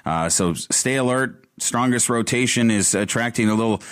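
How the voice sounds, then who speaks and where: A man hosting live radio storm coverage, ad-libbing in a halting, urgent way. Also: mid-range voice. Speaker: radio host covering severe weather.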